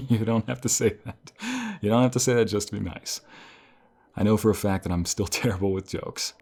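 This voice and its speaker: A man playing a caring, encouraging college professor in an intimate ASMR role play, speaking softly in a gentle, unhurried, reassuring way. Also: fairly low pitch. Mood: amused, bashful. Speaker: voice actor in an audio role play.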